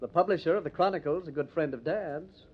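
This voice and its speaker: An actor playing a smug, condescending young millionaire heir with refined manners in an old-time radio drama. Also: male voice, fairly high pitch, fast, slightly rough. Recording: dull, lo-fi old radio recording.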